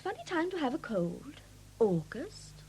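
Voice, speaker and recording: High, swooping, flighty young woman. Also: very animated, measured pace, exaggerated voice. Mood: reluctant, chatty. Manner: breezy, evasive, indignant when challenged. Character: flighty, defensive young woman under police questioning. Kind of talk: 1970s British radio drama acting. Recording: hissy old 1970s radio broadcast recording.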